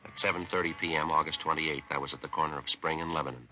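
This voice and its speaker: A muffled 1950s radio recording of a hard-boiled American police detective. His voice is extremely deep and he talks fast. He is terse, deadpan and matter-of-fact, wasting no words. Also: over music. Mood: flat, matter-of-fact. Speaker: lead actor and narrator. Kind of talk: 1950s radio police drama acting and narration.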